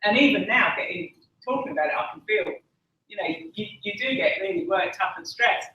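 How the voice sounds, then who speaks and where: An Essex-accented woman tells her own story at a conference, candid and earnest, often pausing on "um" and slipping in wry jokes about herself. Her voice is bright and clear. It reaches the mic from some distance across a large, strongly echoing hall. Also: medium pitch, highs cut off. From Essex, England. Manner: candid and earnest, with frequent ums and self-deprecating asides. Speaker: conference speaker. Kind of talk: personal testimony speech. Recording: echoey hall from far off.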